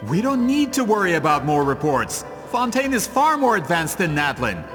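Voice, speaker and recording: An actor playing a scheming, vain merchant in a video game, with a theatrical, pompous, indignant manner. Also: male voice, high voice, sing-song, moderate pace, a bit bright, soft background music. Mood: pleading, scheming. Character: scheming, vain male merchant with grand business ambitions.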